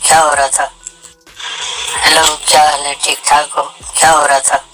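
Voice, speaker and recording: A man's voice: flat delivery, normal speed, over loud music, rough recording. Man through a voice changer as a filtered young woman. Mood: casual, friendly. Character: young woman, app-made girl's voice saying friendly hellos. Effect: pitch-raising voice changer filter.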